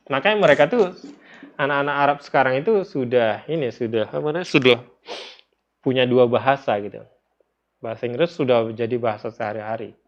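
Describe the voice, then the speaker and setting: A man with an Indonesian accent teaching in an informal lecture style, explaining step by step, repeating phrases and posing questions to his listeners. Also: medium pitch. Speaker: religious teacher. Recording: dull, lo-fi audio.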